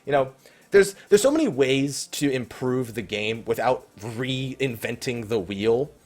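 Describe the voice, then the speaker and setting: A man's voice: mid-range voice, expressive, measured pace, darkish tone. A man hosting a gaming Q&A, talking casually and off the cuff in a rambling, conversational way. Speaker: host of a gaming Q&A show. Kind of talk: casual unscripted Q&A commentary, reading out viewer questions. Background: faint background music.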